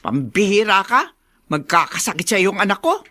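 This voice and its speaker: A woman's voice: mid-range voice, big pitch swings, moderate pace. A woman playing a domineering middle-aged mother-in-law with a Filipino accent, nagging and accusatory, in a radio drama. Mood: scolding, indignant. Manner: nagging, accusatory, sharp-tongued.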